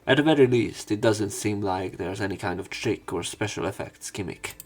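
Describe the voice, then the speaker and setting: A man's voice: fairly low pitch, normal speed, soft background music. A man doing a let's play, reading story narration aloud dramatically with casual commentary. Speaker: let's player.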